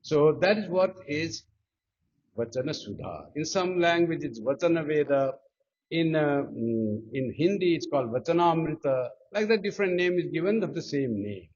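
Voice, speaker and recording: Nepali-accented monk, fairly high voice, slow emphatic delivery, muffled lo-fi recording. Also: male voice, lively intonation, darkish tone. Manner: slow, emphatic, lifting pitch on key words. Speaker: monk giving a spiritual talk. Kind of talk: devotional talk with sung Sanskrit chant. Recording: dull lo-fi sound.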